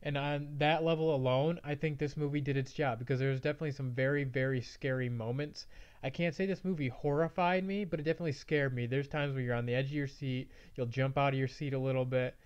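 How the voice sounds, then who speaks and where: Casual male movie reviewer, smooth mid-range voice with a touch of a cold, slightly lo-fi sound. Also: medium pitch, steady tone, quick pace, a little mellow. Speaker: movie review show host. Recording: slightly dull, band-limited audio.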